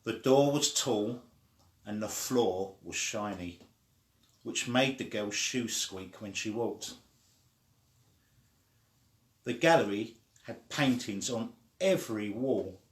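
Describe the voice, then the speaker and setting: A man reading a children's story aloud in a deliberate, simply phrased way. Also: fairly low pitch, animated. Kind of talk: slow read-aloud storytelling. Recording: echoey room.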